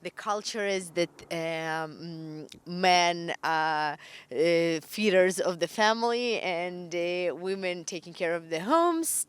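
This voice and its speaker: Woman, slow speech, smooth clear voice, dry close sound. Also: on the low side, no room sound. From Ukraine. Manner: halting, many filler sounds. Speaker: panel guest. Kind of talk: casual discussion.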